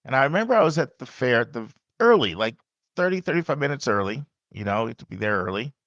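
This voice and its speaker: A man hosting a podcast, speaking loudly and emphatically in a casual monologue with frequent asides to the listener. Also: mid-range voice, big pitch swings, quick pace, no room sound, highs cut off. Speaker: podcast host and college admissions advisor.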